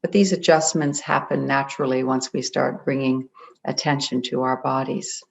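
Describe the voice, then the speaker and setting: A meditation guide leading a group in a gentle, deliberate way, leaving long pauses between phrases. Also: mid-range voice, level tone, creaky. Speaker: meditation guide, spiritual coach. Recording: dull, muffled lo-fi audio.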